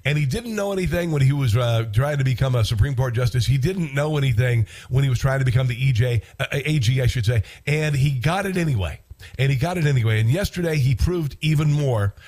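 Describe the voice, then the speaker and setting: A man's voice: medium pitch, a little fast, darkish tone. A congested man with an American accent hosting talk radio in a casual, wry monologue, repeating phrases for emphasis.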